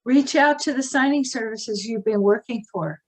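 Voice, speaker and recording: A woman co-hosting a video call, giving practical advice while losing her voice and clearing her throat. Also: fairly high pitch, moderate pace, rough recording. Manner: practical, many fillers. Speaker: expert co-host.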